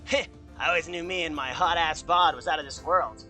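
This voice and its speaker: A man voicing a cocky, loyal, wisecracking teenage hedgehog hero with brash, joking delivery in an animated fan dub. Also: on the high side, fairly quick, soft background music. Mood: cocky, casual.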